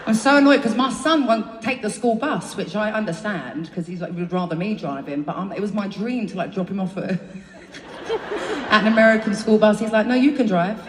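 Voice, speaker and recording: A woman's voice: mid-range voice, expressive, moderate pace, slight background noise. A woman with a London accent chatting to her concert audience between songs, rambling, swearing freely and laughing at herself. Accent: London English. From England. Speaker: singer on stage. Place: large concert venue. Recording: live concert audio, echoey arena from far off with crowd.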